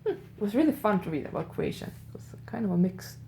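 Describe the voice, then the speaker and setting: Woman, Swedish accent, solo radio-style host. Chatty, musing, warm low tone, slightly gravelly. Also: huge pitch swings, fast, slight background noise. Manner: chatty, musing aloud with curious asides to listeners. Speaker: host of an audio advent show.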